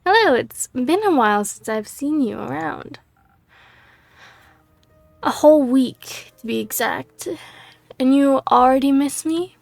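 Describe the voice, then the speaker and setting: A woman's voice: high-pitched, expressive, a little slow, gravelly, pauses often, a little mellow, no echo, soft background music, heavily acted. A woman reading the lines of a female monster hunter adventurer in her own plain voice, slightly losing her voice. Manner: reads dialogue aloud in her own plain voice.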